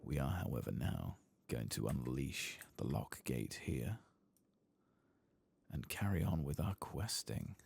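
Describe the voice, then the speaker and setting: A man giving soft-spoken ASMR commentary over video game play, hushed and at times slipping into a whisper close to the mic. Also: rumbling low, big pitch swings, takes it slowly, vocal fry, breathy edge, dry recording. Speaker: host of a gameplay channel.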